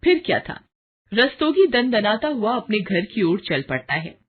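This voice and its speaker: A woman narrating a scripted children's horror story as an expressive storyteller. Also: mid-range voice, moderate pace, breathy edge, phone-quality sound. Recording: loud music under the voice.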